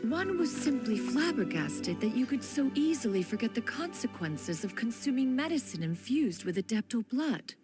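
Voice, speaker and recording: A woman's voice: a little high, big pitch swings, moderate pace, hardly any pauses. An actress playing a proud, ancient and wise female crane adeptus in a video game, speaking in lofty, archaic, formal language over music. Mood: haughty, fond. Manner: archaic, lofty diction, refers to herself as one. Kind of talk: scripted game dialogue, storytelling.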